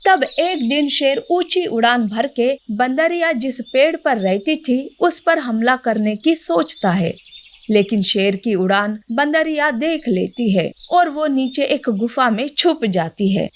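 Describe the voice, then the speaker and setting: Lady reading a kids story, high voice, takes it slow, bit of noise behind. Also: animated. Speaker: narrator. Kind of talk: scripted children's story narration.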